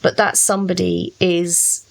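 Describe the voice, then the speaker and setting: A woman with a fairly low voice and noticeable creak talks as an author in a relaxed podcast discussion. She is reflective and explains her points from personal experience. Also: a little slow. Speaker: fiction author, podcast guest.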